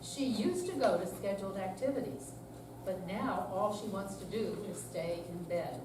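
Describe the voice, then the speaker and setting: A woman's voice: medium pitch, lively intonation, normal speed, close to an everyday voice. An older woman in a staged reading, playing a candid seventy-year-old woman who is weary but resolute about managing depression. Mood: earnest, troubled. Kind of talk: scripted dramatic reading. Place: senior center room, live performance. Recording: echoey room, distant mic, clear background noise.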